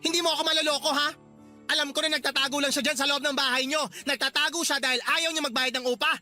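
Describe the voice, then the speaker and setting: An actor playing a cruel, hot-tempered male landlord who scolds and shouts insults in a radio drama. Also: high voice, fairly even intonation, fast talker. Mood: angry, bullying. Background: music.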